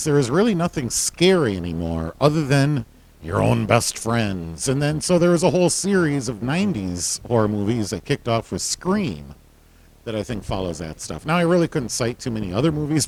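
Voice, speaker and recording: A man co-hosting a podcast in casual chat, talking with a loud, full voice that carries, laughing often. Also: medium pitch, gravelly, a little hiss or hum, low-quality recording. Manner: loud, carrying voice. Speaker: podcast co-host.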